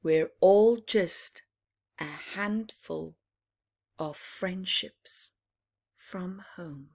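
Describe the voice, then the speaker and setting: A woman reading a picture book aloud to young children in an animated storytime style, with dramatic pauses and little explanations for her listeners. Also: lowish voice.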